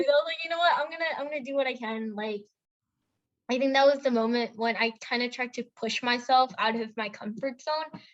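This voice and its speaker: A woman's voice: a little high, a bit bright, dull audio. A young woman from India telling a personal story in a casual chat, with lots of filler words and restarts. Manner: chatty storytelling with many fillers and self-corrections. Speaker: podcast co-host.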